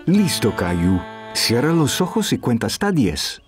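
Patient young father, children's cartoon, reassuring, highly animated, unhurried. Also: faint music behind. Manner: gentle, reassuring.

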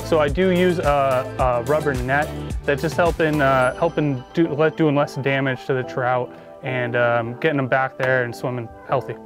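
A man's voice: medium pitch, fairly even intonation, normal speed. A man in his thirties giving a casual, enthusiastic gear walkthrough to camera like a shop staffer, chatty and informal. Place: indoors in a small tackle shop. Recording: music behind the voice. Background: music, guitar.

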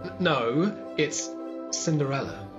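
A man's voice: a little high, slow, lo-fi sound, loud music behind the voice. A man in his thirties playing an eager, polite young prince in a comic pantomime. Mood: earnest. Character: eager, polite young fairy-tale prince wooing Cinderella. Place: living room at home. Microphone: video call.

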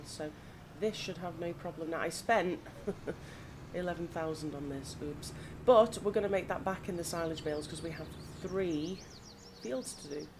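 A woman with a lowish, slightly croaky voice chats easily while playing, musing aloud. Clear background noise hums under her masked-desk-mic recording. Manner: chatty, thinking aloud, relaxed. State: slightly croaky from hay fever. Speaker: gaming channel host. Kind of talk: casual gameplay commentary.

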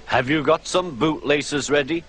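A man's voice: fairly high pitch, a little slow, music in the background, pitched above the performer's own voice, close to an everyday voice. A man voicing a cheeky young male engine with a teasing manner, in a storybook reading.

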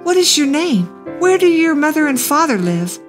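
A kindly fine lady, as a woman storyteller acts her out, pitched high and full of motherly concern. Her manner is eager and solicitous, as in a children's storybook, and music plays underneath. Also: big pitch swings, moderate pace. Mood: eager, tender. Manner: warmly solicitous. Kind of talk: children's religious storybook reading.

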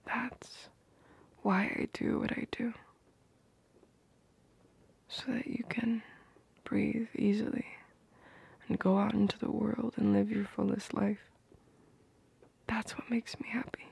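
A woman playing a tender, protective, teasing girlfriend in an ASMR roleplay, murmuring intimately in soft whispers close to the microphone. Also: medium pitch, flat delivery, takes it slowly, long pauses, exaggerated voice. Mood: tender, reassuring. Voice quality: breathy, whispery.

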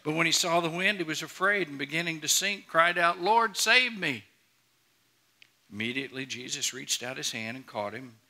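A man's voice: a little high, animated, moderate pace, bright, forceful tone. A middle-aged man preaching a sermon as a pastor, earnest and emphatic, often repeating and restarting phrases. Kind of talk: sermon to a congregation. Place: large church auditorium, on stage before a congregation.

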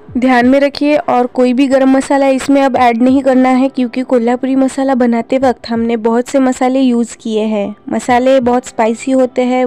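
A woman hosting a home cooking channel, calmly explaining a recipe step by step in a voice-over. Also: on the high side. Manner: calm, instructive, homely explanations.

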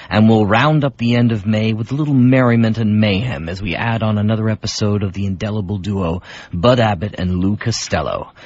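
Low, husky male radio host. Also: dull audio. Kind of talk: scripted introduction.

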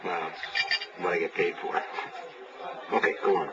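A man's voice: sits low, flat delivery, normal speed, close to an everyday voice. A man playing an easygoing, direct private investigator interviewing a client in a naturalistic audio drama. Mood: casual, businesslike. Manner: casual, direct. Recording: lo-fi tape, loud cafe noise.

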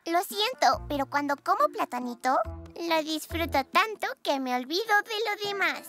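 A woman voicing a carefree, absent-minded baby girl in an animated children's cartoon, with a Latin American Spanish accent and babyish mispronunciations. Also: very high-pitched, moderate pace, full character voice. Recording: loud children's cartoon music behind.

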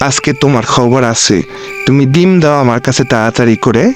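A man voicing an excitable, scheming fox husband in a cartoon, with dramatic exclamations. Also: medium pitch, brisk, soft background music, natural voice. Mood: bossy, angry. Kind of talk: cartoon voice acting.

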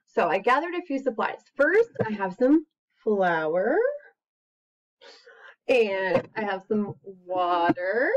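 A woman in her thirties with an expressive, singsong manner, talking to young children as an educator. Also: a little high. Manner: expressive, singsong, encouraging young listeners to join in. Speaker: children's educator and story reader. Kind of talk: animated read-aloud and casual craft demonstration for young children. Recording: slightly dull webcam recording.

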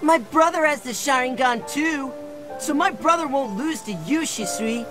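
A woman's voice: high-pitched, moderate pace, music in the background, lightly acted. A woman voicing an eager little boy, an adoring younger brother, whiny and pleading, in an anime dub. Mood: insistent.

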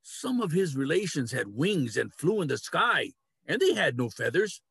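An older man playing a large, weary walrus who grumbles deliberately in a play reading over a video call. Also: fairly high pitch, sing-song, gravelly, a bit bright, breathy edge, no echo, studio quality, heavily acted. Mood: grave. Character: large, weary old walrus on an animal council. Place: small cluttered room at home.

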